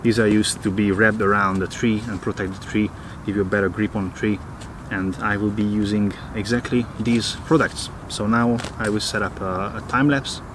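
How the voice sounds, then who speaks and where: A man in his thirties from Hungary, casually talking to a close-held camera outdoors in the woods, often pausing with uh. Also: on the low side, flat delivery. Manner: hesitant, many filler uhs. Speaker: vlogger.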